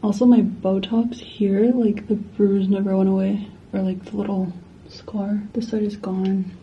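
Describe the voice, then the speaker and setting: A woman's voice: mid-range voice, little pitch movement, unhurried, recorded from a distance, dull audio, slight background noise. A young woman in her twenties talking casually to her camera in a small hotel room, rambling and saying "like" a lot. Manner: chatty, rambling, heavy use of "like". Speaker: vlogger. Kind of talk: casual vlog chat to camera. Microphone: handheld camera close up.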